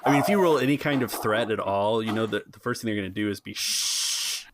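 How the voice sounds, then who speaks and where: A man hosting as game master, narrating a tabletop game casually in halting, improvised phrases. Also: on the low side, very noisy. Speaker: game master, podcast host.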